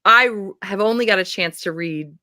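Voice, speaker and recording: A woman co-hosting a casual book readalong, speaking in a gushing, effusive way and quick to laugh. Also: mid-range voice, bright, forceful tone, no room sound, clean studio sound.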